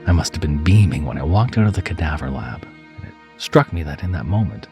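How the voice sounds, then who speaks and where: A man narrating a first-person horror story in a measured, dramatic and expressive way, dropping to a hush at times. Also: rumbling low. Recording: music under the voice. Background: background music.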